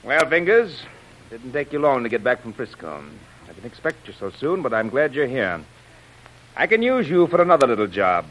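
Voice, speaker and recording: A man's voice: mid-range voice, sing-song, normal speed, dull audio, slight background noise, lightly acted. Man playing a sardonic old-time radio gang boss. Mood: scornful, irritated. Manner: tough gangster slang. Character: sinister male gang chief, sardonic, scornful, commanding. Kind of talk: old-time radio crime serial acting.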